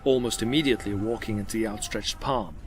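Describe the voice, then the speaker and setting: A man's voice: on the low side, quick pace, noisy background. A man narrating an audio drama with scripted, dramatic storytelling delivery. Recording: loud music behind the voice.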